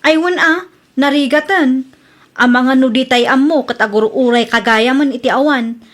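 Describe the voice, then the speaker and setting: A woman's voice: high-pitched, normal speed, a bit bright. A woman with an Ilocano accent playing the fretful, nagging wife of a jeepney driver in a comedy radio drama.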